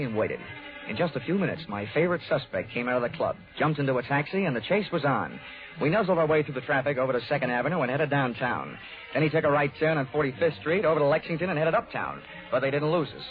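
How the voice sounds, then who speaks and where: A man's voice: fairly high pitch, lightly acted. Man playing a tinny old-radio wisecracking detective. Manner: wry, dry, needling. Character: wisecracking, cynical hard-boiled male insurance investigator. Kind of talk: 1940s radio detective acting and narration. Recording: tinny, narrow-band 1940s radio recording, music.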